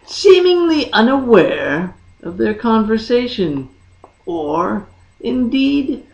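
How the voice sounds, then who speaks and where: A woman narrates a fantasy tale with theatrical, deliberate phrasing. Her delivery is slow and lively in pitch, with a gravelly creak in the voice. The recording is a dull, lo-fi one made in an echoey room. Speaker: audiobook narrator. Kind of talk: dramatic scripted fantasy narration.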